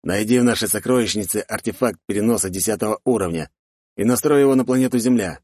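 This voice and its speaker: A man's voice: fairly low pitch, quick pace, a little mellow, no room sound, studio quality, voice pushed up, natural voice. A man voicing a stern, protective middle-aged count with an authoritative manner in a fantasy audiobook. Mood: serious, secretive. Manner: authoritative, commanding.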